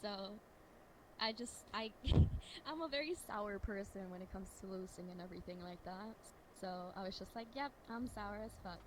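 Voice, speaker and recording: A woman's voice: mid-range voice, normal speed, background noise. A young woman from Denmark with a Danish accent, a shy, nervous and giggly guest on an interview stream. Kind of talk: casual interview chat.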